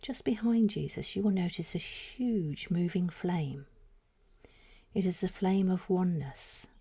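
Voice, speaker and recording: A woman with a low, mellow voice leads a guided spiritual meditation. Her delivery is soft and breathy, often sinking close to a whisper. She places each phrase slowly and soothingly, leaving room between them. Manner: slow, soothing, deliberate phrasing with pauses.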